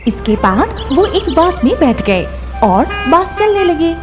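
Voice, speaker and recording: A woman narrates a children's story in a high voice. Her delivery is scripted storytelling, with music clearly playing underneath. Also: big pitch swings, takes it slowly.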